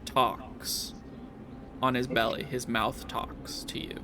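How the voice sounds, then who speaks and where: A man acting as game master, narrating casually and haltingly, often repeating phrases as he goes. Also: medium pitch, flat delivery, pushed and bright. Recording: faint background noise.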